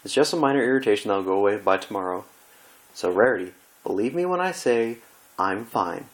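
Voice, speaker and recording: A man's voice: fairly low pitch, expressive, brisk, lightly acted, rough recording. Man reading as a studious young female cartoon pony, patient, matter-of-fact, mildly exasperated, reassuring. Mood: reassuring, slightly annoyed.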